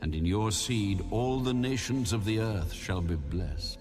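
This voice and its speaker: A man voicing a solemn, commanding God in a dramatized audio Bible, speaking slowly and deliberately with a low, weighty delivery. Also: animated. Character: God, male, solemn, commanding, promising blessing. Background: music.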